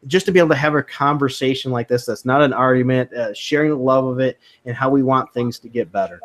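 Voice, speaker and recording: A man's voice: mid-range voice, fast. A man hosting a casual online chat about comics, talkative and encouraging, heard over a remote call mic. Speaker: host of a comics chat stream.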